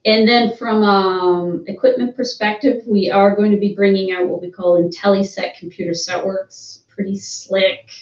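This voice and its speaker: A woman's voice: mid-range voice, steady tone, moderate pace, hardly any pauses, darkish tone. A woman speaking for a sawmill company on a video call, upbeat and hesitant with many fillers. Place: office room, seated with a colleague. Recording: roomy, distant, dull video call audio.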